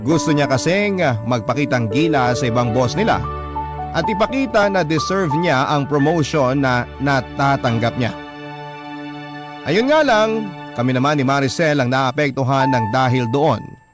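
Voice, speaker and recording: A man's voice: mid-range voice, expressive, moderate pace. A man with a Filipino accent narrating a scripted, dramatic first-person story written as a letter. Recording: music bed behind the voice.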